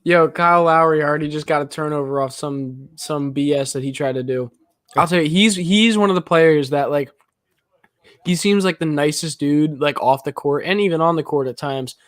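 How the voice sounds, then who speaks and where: Excitable young man reacting live. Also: a little high, no room sound, clean studio sound. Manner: excitable, rambling, quick asides. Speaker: podcast co-host. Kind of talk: live game reaction chat.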